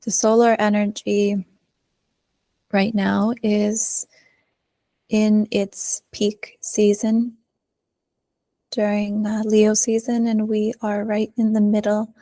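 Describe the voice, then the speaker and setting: A woman leading a guided meditation in a soothing manner, pausing often, with soft phrase endings. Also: mid-range voice, completely flat delivery, no room sound. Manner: slow, soothing delivery with long pauses.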